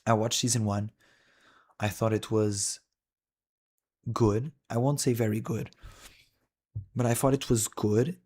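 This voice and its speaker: Man, casual rambling commentary, wry asides, low husky voice. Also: darkish tone. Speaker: streamer. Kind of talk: casual commentary while browsing an anime list.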